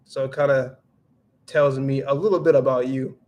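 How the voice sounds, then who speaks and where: A man's voice: mid-range voice, fairly even intonation, normal speed, a little mellow, dull audio. Rambling young man on a livestream. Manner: rambling with frequent restarts and "like". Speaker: livestream host reading chat. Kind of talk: casual livestream chat, mixing English and Spanish.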